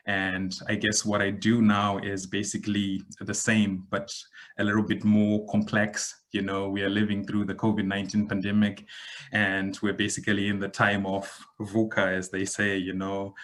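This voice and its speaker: A man in his thirties from Zimbabwe, a podcast guest giving a measured, reflective account with frequent pauses. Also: fairly low pitch, fairly bright tone. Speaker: guest, digital transformation expert. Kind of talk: podcast interview answer.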